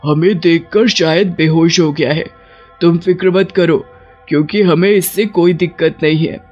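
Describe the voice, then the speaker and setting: A gloating, hungry witch from a horror cartoon, voiced by a man. The voice is high and slow, with music behind it and slightly dull sound. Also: fairly even intonation, a little mellow. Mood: threatening. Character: gloating, hungry witch, female, hunting humans. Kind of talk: cartoon horror story dialogue.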